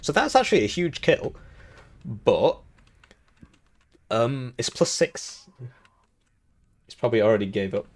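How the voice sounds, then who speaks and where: A man's voice: mid-range voice, very animated, unhurried, pauses often. A young man hosting a live game tournament stream, talking casually with jokes and chuckles while commentating the match. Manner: rambling, joking, chuckling between remarks. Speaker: tournament host and caster.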